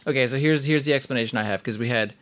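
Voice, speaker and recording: A man hosts a casual podcast, rambling with plenty of fillers and teasing his co-host. His voice sits at an ordinary pitch. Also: racing, a bit bright. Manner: chatty, many likes and fillers, teasing.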